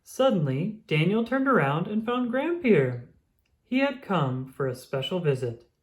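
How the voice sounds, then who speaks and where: A children's read-aloud narrator telling a picture-book story. The delivery is highly expressive, with pitch rising and falling freely, and it is clearly aimed at young listeners. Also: unhurried.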